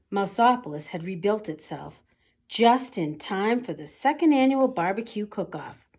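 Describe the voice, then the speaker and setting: Woman, storybook narrator, lively varied pitch, dramatic, deliberate delivery. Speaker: storybook reader. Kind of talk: expressive children's read-aloud narration.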